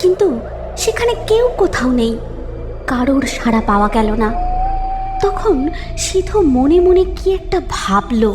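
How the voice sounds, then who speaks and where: A woman's voice: sits high, very animated, unhurried, long pauses, over music. A woman narrating a cartoon horror story in a dramatic, scripted storytelling style.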